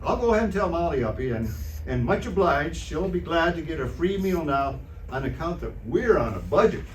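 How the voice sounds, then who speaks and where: A man's voice: on the high side, very animated, brisk. A man playing a folksy, blustering, gullible husband in an old-time radio comedy, stammering and colloquial, performed on stage. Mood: jovial, teasing. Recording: distant, echoey, very noisy stage recording.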